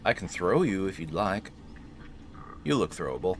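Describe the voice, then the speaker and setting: A man's voice: sits low, big pitch swings, normal speed, slight background noise. A man hosting a gaming video with casual, joking commentary and wry, playful banter. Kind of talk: casual joking let's play commentary, reading game text aloud.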